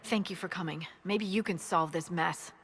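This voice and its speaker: An actress playing a brisk woman military scout in a video game, briefing in a frank, dry way. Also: on the low side, a bit bright, faint music behind.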